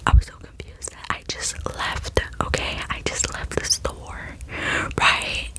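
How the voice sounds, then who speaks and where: A young woman speaks in a soft, unvoiced whisper, as in an ASMR storytime. Her delivery is casual and rambling, full of "like" and "you know". The breathy whisper carries the whole narration. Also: measured pace.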